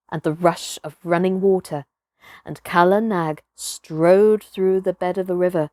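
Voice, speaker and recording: A woman reading a children's story expressively, with dramatic delivery that moves between hushed and emphatic. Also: medium pitch, no room sound, studio quality. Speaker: storybook reader, performer.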